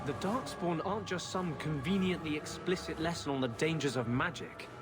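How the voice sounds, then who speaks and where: A man's voice: on the high side, moderate pace, over loud music, lightly acted. A man playing a skeptical, bookish mage in a fantasy video game, articulate, emphatic and argumentative. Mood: scornful.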